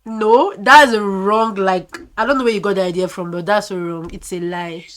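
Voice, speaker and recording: A young Nigerian woman with a Nigerian accent, chatting with strangers on a video app and reacting on her phone, loud and laughing. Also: medium pitch, fairly even intonation, normal speed. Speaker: vlogger. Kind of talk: casual video chat commentary.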